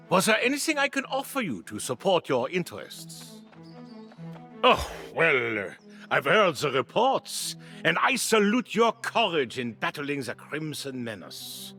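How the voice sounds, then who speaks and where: An actor playing a pompous, self-important town guard captain in a video game, with flowery formal speech and theatrical delivery. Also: male voice, mid-range voice, bright, forceful tone, full character voice.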